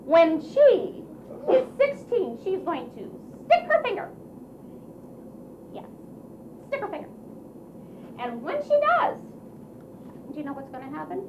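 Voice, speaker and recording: A woman's voice: extremely high pitch, huge pitch swings, unhurried, pauses often, muffled recording, background noise, voice pushed up, full character voice. A woman voicing a wicked, spiteful fairy in a children's puppet show, scolding and threatening theatrically. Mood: gloating, menacing. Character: wicked uninvited fairy, spiteful, cackling, menacing.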